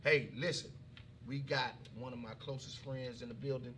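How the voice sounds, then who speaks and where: A man's voice: mid-range voice, steady tone, moderate pace, background noise. A man hosting a casual talk show, folksy and chatty, often repeating himself and addressing the audience directly. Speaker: comedian. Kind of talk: casual chat.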